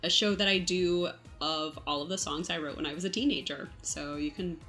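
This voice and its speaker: Lowish, bright-voiced woman chatting casually. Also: brisk, soft background music. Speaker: performer, singer. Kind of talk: casual podcast chat.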